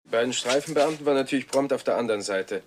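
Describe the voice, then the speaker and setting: An actor playing a matter-of-fact police detective in a German crime radio play. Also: male voice, mid-range voice, rapid-fire, lightly acted. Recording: old radio play with faint noise.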